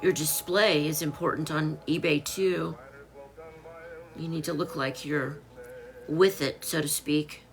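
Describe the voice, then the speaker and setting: Low gravelly woman in her fifties. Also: steady tone, slow, long pauses. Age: 50s. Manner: chatty, greets viewers by name, talks while listing items. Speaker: online seller live-streaming. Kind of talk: casual live-stream chat with viewers. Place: home.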